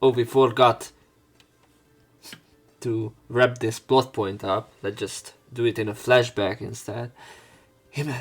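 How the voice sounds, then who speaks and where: A man's voice: medium pitch, little pitch movement, slow, long pauses. A man doing a casual let's play of a visual novel, reading the story aloud with rambling, conversational asides.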